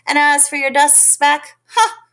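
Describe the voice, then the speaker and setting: A woman's voice: sits high, expressive, a little slow, bright, forceful tone, voice pushed up, exaggerated voice. A woman voicing a bossy, scornful female kangaroo in a children's storybook, with a snappish, scolding manner. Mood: scornful, threatening. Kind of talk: children's storybook read-aloud.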